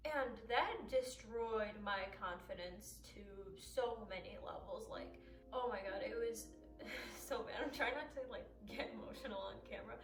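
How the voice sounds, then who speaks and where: A woman's voice: a little high, measured pace, reverberant, far from the mic, over music, poor audio. A woman in her twenties from the United States, a native Arabic speaker, vlogging candidly to camera in a chatty way and laughing often. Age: 20s. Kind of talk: candid personal talk to camera.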